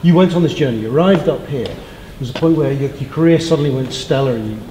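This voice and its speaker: A man's voice: mid-range voice, fast, warm tone. A man from North London co-hosting an interview, chatty, often stammering and restarting. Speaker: co-host. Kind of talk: casual interview chat. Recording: echoey room with faint noise.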